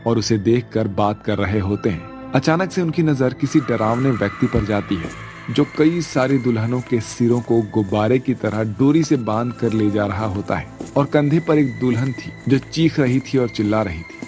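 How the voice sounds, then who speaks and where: Medium-pitched male story narrator. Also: normal speed, a little mellow, faint music behind.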